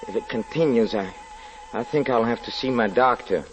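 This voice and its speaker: An actor playing a sensitive, nervous French gentleman in a 1940s radio drama, speaking haltingly and repeating his words as if writing a diary. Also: mid-range voice, huge pitch swings. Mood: anxious, uneasy. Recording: vintage 1940s radio broadcast with music.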